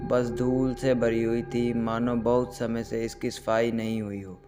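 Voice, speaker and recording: A man's voice: medium pitch, level tone, a little slow, over music. A man reading a scripted horror story as an audio narrator.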